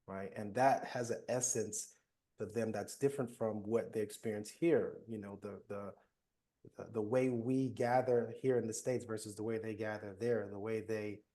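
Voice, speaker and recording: Reflective male panelist, low and mellow, soft-spoken. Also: fairly even intonation, normal speed. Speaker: panelist, mental health professional. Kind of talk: panel discussion.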